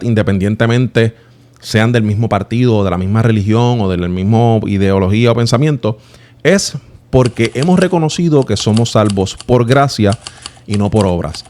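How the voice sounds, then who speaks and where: A man's voice: on the low side, expressive, normal speed, a little mellow. Earnest Puerto Rican man reflecting on faith. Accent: Puerto Rican Spanish. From Puerto Rico. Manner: earnest, explanatory, addresses viewer directly. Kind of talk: personal reflective monologue.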